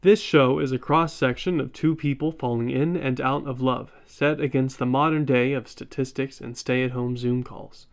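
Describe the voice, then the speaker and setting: A man's voice: mid-range voice, steady tone, moderate pace, gravelly, few pauses. A man serves as announcer for an audio drama. He reads a scripted introduction in a mid-range male voice. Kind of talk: scripted introduction of a radio play.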